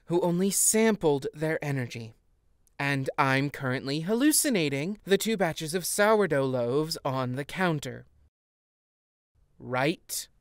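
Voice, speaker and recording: A woman's voice: low-pitched, expressive, slow pace, no room sound, clean studio sound. An actress playing an exasperated fairy queen with a sarcastic, cutting manner in a modern audio drama. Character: exasperated, sardonic fairy queen, sharp-tongued bickering wife.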